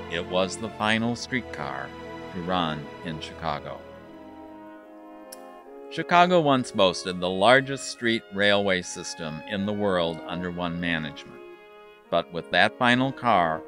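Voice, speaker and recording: A man reading scripted documentary narration in a measured, factual way. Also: deep voice.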